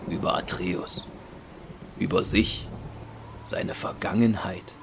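A man narrating a dark myth in an audio drama, measured and sombre, with a creaky voice. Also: low-pitched, fairly even intonation, slight background noise, full character voice. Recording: rain, thunder and effects behind voice.

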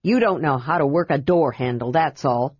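A woman voicing a grumpy, weary old male dwarf who growls his words hoarsely, in a storybook reading. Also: low-pitched, moderate pace, dry recording, pitched below the performer's own voice. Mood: irritable. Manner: growling, irritable. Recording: dull lo-fi recording.

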